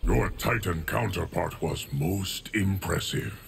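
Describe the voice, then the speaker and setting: Deep, solemn ageless guardian. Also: male voice, a little hiss or hum, exaggerated voice. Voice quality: gravelly. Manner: formal, measured, grave. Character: solemn, stoic ageless male guardian of time. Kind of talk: video game voice acting.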